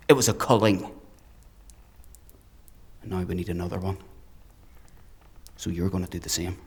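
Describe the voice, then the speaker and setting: An actor playing a sadistic, fanatical villain in a dark fantasy audio drama, with taunting, declamatory delivery and a gravelly voice. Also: male voice, sits low. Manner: taunting, declamatory, swings from hushed to screaming. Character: sadistic zealous male villain, menacing, theatrical, fanatical.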